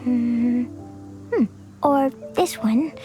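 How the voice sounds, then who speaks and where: A woman's voice: on the high side, extremely slow. A woman voicing a cheerful, naive, impulsive little girl in an anime-style video game, with simple, eager phrasing. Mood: innocent, eager. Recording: music behind the voice.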